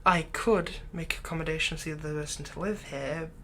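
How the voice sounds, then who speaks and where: A man playing a doting, slyly manipulative villain captor in an intimate ASMR roleplay, speaking gently and coaxingly with unhurried pauses. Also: fairly high pitch, steady tone, a little hiss or hum. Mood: tender, flattering.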